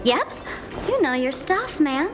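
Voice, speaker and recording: A cheerful, mischievous young tavern host from a video game. She talks in a bubbly, showy, teasing way with a high, bright voice. Game music plays clearly behind her. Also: sing-song, slow, full character voice.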